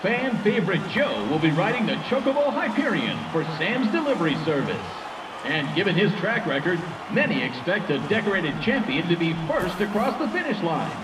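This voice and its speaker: Showy race announcer, voice way up high and swooping, echoey over music. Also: male voice, big pitch swings, moderate pace, far from the mic, background noise. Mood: hyped, grand. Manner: grandiose, showman-like. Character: excitable male racetrack announcer with showy delivery. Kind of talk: theatrical sports commentary.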